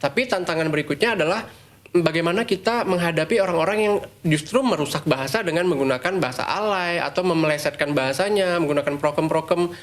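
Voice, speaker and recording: A man's voice: a little high, quick pace. A man hosting a podcast, listening attentively with frequent short agreements and asking long, carefully framed questions. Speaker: podcast host.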